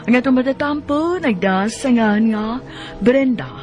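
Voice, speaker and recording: Lady narrator for radio soap, cebuano accent, highish dramatic voice, music under it. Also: animated, unhurried, highs cut off. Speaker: drama series announcer.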